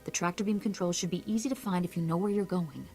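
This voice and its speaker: An actress playing a composed, resolute ambassador in a space-opera video game, measured, formal and authoritative. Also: female voice, fairly low pitch, dark tone, soft background music, close to an everyday voice. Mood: composed, urgent.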